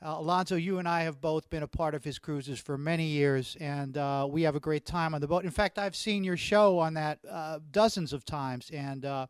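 A fairly high-voiced man talks loudly and eagerly, tripping over his words as he restarts sentences. He reads out fan questions as host. His voice is dry and close over the call. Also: normal speed, few pauses, clean tone, no room sound. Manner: loud, excitable, stumbling restarts. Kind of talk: casual video-call chat. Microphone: video call.

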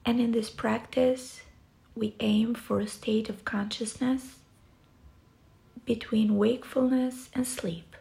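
A woman guiding a yoga nidra relaxation session in a soft, soothing, breathy way, with long pauses between short phrases. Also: a little high, steady tone. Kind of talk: guided relaxation meditation.

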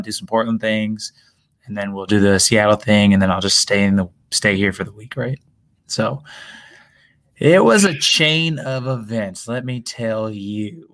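A man with a slightly hoarse voice co-hosting a podcast in casual sports chat from a hotel room. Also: mid-range voice, fairly bright tone. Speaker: podcast co-host.

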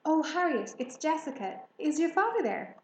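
Woman reading as nice polite lady in a kids story, highish voice, echoey. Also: sing-song, moderate pace, a little mellow. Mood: friendly. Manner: polite, friendly. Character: kindly, friendly adult woman, politely warm.

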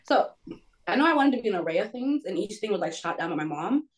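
A woman's voice: medium pitch, big pitch swings, fast talker. A woman in her twenties, from Florida, talking casually and hesitantly with many fillers over a video call. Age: 20s. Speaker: guest. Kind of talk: casual chat.

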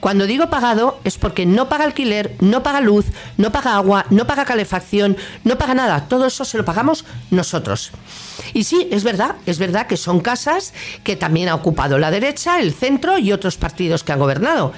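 Female radio host, Castilian Spanish accent, mid-pitched voice; indignant, sarcastic, colloquial political commentary. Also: sing-song, brisk. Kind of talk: political opinion monologue.

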